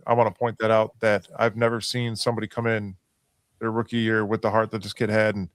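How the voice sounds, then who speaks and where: A man's voice: on the low side, monotone, a little fast, no echo. A man hosting a casual sports chat, talking with enthusiastic emphasis and often repeating himself. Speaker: host. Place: podcast studio.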